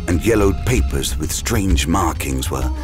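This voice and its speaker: An actor playing an ominous storyteller, giving scripted dramatic narration for a gothic horror audio drama. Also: male voice, extremely low pitch, expressive, measured pace. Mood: suspenseful. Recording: loud dramatic music behind.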